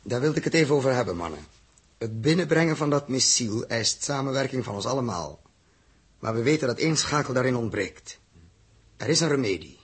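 An actor playing a firm, reasoning spaceship crew leader in a 1960s Dutch radio drama, speaking with deliberate authority. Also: male voice, medium pitch, lively intonation, husky. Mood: firm, commanding.

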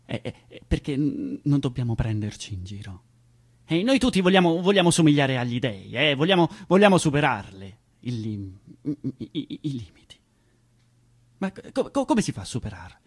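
A man acting a husky, anxious, stammering self-doubter. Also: medium pitch. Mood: troubled, then frantic, heated. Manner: halting, stammering, repeating words; swings between breathy whispers and loud outbursts. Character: anxious, self-doubting man confessing his own limits. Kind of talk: theatrical radio monologue.

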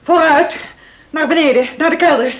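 Actress as shrill, desperate villainess in echoing room. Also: female voice, normal speed, highs cut off, natural voice. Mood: frantic, menacing. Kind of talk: radio drama acting.

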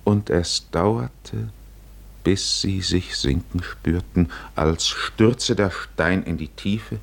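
A man narrating a literary 1950s radio drama in a solemn, measured manner with deliberate pauses. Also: low-pitched, gravelly.

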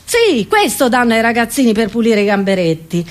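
High-voiced woman doing a comedy monologue. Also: big pitch swings, fairly quick. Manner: theatrical, self-interrupting, asking rhetorical questions. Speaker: comedian performing a solo monologue. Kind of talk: satirical comedy monologue, conversational.

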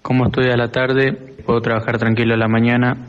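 An Argentine teenage boy gives plain interview answers with very little rise and fall. His voice is mid-pitched. The classroom recording is muffled and reverberant. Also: level tone, fast talker. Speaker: student interviewee. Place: school classroom. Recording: dull, echoey classroom recording.

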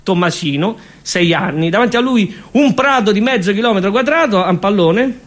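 A man's voice: high voice, lively intonation, fairly quick, a bit bright. A man with a Roman accent performing a theatrical comic monologue, emphatic and repetitive.

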